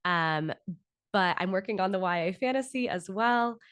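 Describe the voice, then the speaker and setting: A woman's voice: mid-range voice, moderate pace, fairly bright tone, clean tone, no room sound, clean studio sound. A woman in her thirties with an American accent, giving conversational answers as an interview guest. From Florida, United States. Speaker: podcast guest, author and actor.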